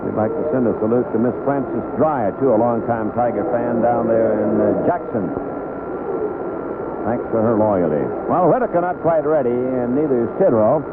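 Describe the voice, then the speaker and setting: A man's voice: medium pitch, normal speed, very noisy. Phone-like old radio baseball announcer, male. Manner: conversational, folksy asides between calls. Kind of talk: live radio sports commentary. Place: ballpark broadcast booth.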